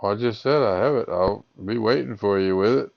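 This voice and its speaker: A man with a drawl talks in a very deep, gravelly voice, ribbing the person on the other end with folksy, mock-innocent questions. The recording is muffled and low-fidelity. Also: a little fast, dry recording. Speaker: scambaiter. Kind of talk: prank phone call.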